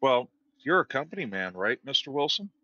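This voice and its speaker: A man's voice: medium pitch, very animated, brisk, sharp tone, dry recording. A man playing in a tabletop game, talking casually and hesitantly in role over online voice chat. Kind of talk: casual in-game roleplay talk. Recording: dull online voice-chat audio.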